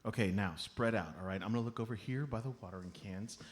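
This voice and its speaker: A man's voice: fairly low pitch, fast, close to an everyday voice. A man playing an earnest, bossy amateur sleuth, stern and fussy, in a live comedy radio play. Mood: businesslike. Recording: noisy live stage recording.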